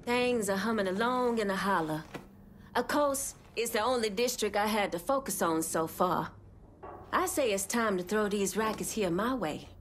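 A woman's voice: mid-range voice, animated, moderate pace, slight background noise, lightly acted. A woman playing a cool, shrewd, self-assured gang leader in a video game. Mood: confident. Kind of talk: cinematic video game acting.

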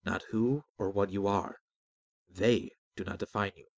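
A man voicing a calm, cryptic disembodied spirit in a fantasy story, soft and measured as he speaks in riddles. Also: on the low side, no room sound. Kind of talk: fantasy audiobook character.

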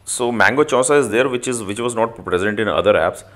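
A man with a fairly low-pitched voice narrates an app review step by step. His delivery is informal and halting, with many filled pauses as he works through the screens. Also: fairly bright tone.

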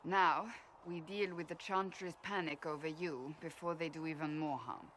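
A woman playing a stern, devout and blunt fantasy warrior in a video game, speaking gravely and deliberately. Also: low voice, fairly even intonation, a little hiss or hum. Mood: grimly resolute. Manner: grave, forceful, deliberate phrasing. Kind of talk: video game cutscene acting.

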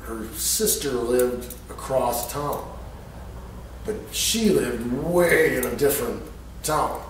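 A man's voice: mid-range voice, lively intonation, slow, a little hiss or hum. A middle-aged American man with a Midwestern accent, telling anecdotes casually in a small workshop. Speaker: vlogger telling stories. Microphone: camera a few feet away. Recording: echoey room, distant camera microphone.

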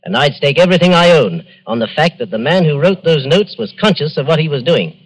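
Hearty, animated gentleman, old radio drama. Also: a little high, brisk, low-quality recording. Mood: reassuring. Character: hearty, smooth-talking, reassuring gentleman acquaintance. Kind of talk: 1940s radio drama acting.

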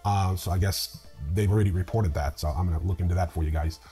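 A man in his fifties with a husky voice, hosting a news show with scripted commentary and personal asides. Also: low voice, steady tone, fast, warm tone, faint music behind. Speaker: host of a cryptocurrency news video series. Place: small indoor room lined with bookshelves.

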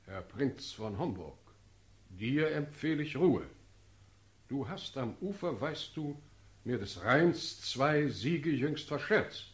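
A stately ruler in a classical radio play, recorded in an echoey room. He speaks slowly and commandingly, in a fatherly, authoritative way. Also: medium pitch, expressive. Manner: measured, authoritative declamation. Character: stately, fatherly sovereign prince commanding his army. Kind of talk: classical German radio drama acting.

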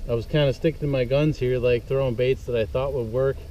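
A man's voice: medium pitch, fairly quick. A young man talking casually to viewers from a small fishing boat on a lake, recorded by a boat-mounted camera a few feet away. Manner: relaxed, chatty, addresses viewers as guys. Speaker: fishing vlogger. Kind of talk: casual outdoor vlog talk. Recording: dull, slightly distant boat-camera audio. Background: faint outdoor boat and water noise.

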